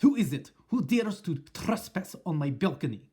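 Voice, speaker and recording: A man voicing an imperious, cruel old witch leader who snaps her orders in a loud, harsh voice. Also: a little high, sing-song, normal speed, breathy edge, voice pushed up. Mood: snapping.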